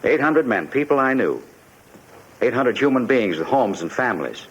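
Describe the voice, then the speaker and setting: A man's voice: medium pitch, sing-song, moderate pace, slightly rough. An actor playing a desperate, bitter immigrant man haunted by his past, insistent and wrought up, in a 1950s radio drama. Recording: dull, noisy 1950s radio recording.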